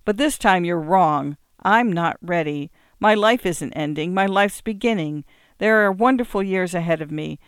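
A woman's voice: low voice, animated, unhurried, creaky, hardly any pauses, no room sound, studio quality, exaggerated voice. A woman reading aloud, voicing a frightened, sick middle-aged man who turns on his visitor in fury. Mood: furious shouting. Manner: desperate, shouting in fury.

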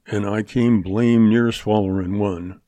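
A man's voice: lowish voice, fairly even intonation, measured pace, mellow, dry recording, lightly acted. A man voicing a tired old-west gunfighter with a dry, hard, level way of talking. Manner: dry, clipped frontier drawl.

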